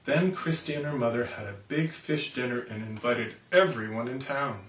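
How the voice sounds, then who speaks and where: A man reading a children's storybook aloud as an animated narrator. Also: medium pitch, normal speed, a little hiss or hum. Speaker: storybook reader. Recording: roomy, distant microphone.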